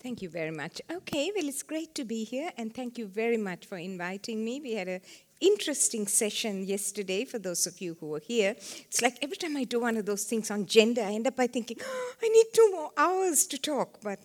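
A woman's voice: on the high side, big pitch swings, brisk. Woman in her 70s from sri lanka, chatty guest speaker at a small seminar, laughs a lot. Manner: chatty, self-deprecating, laughs often. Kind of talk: live seminar talk. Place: seminar room with a small audience.

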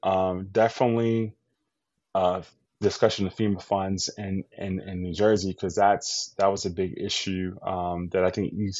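Excitable man with a low, dull-sounding voice. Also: dry recording. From US East Coast. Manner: enthusiastic, rambling with many fillers and restarts. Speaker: podcast co-host. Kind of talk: casual podcast chat.

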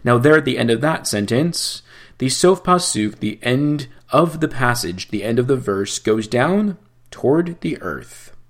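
A man teaching how to chant scripture, explaining slowly and breaking into chanted phrases. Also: mid-range voice, lively intonation. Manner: slow, measured explanation between chanted phrases. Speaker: cantor teaching Torah chanting.

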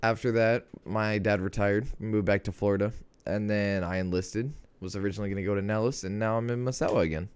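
A man's voice: on the low side, fairly even intonation, fairly quick, clear, resonant tone. A young man as a podcast guest, talking in a laid-back way and swearing casually.

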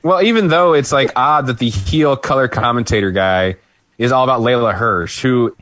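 A man's voice: mid-range voice, moderate pace, a bit bright. A man co-hosting a podcast, recapping a wrestling match in casual chat, rambling with fillers and restarts. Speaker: podcast co-host.

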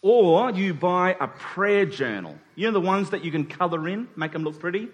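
Higher-voiced man preaching in a reverberant hall. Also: animated, moderate pace, highs cut off. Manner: rhetorical, emphatic with dropped asides. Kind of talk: sermon to a congregation.

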